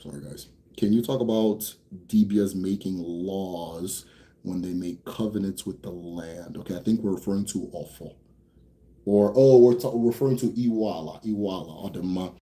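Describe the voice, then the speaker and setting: A young American man hosting a casual Q&A for viewers. He talks bluntly, swears freely, rambles a bit, then slows down to explain. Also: low voice, mellow. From United States. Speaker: host answering viewer questions. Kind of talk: casual livestream Q&A.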